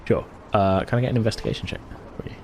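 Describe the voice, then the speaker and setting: A man's voice: lowish voice, fairly even intonation, racing, darkish tone, slight background noise. A man running a tabletop game as game master, narrating casually in a rambling, self-correcting way.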